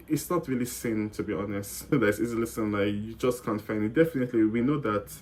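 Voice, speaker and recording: A man's voice: on the low side, a little fast, a little mellow, a little hiss or hum. A young man with a Nigerian accent answering interview questions in a casual, rambling way, often repeating words and correcting himself mid-sentence. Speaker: guest.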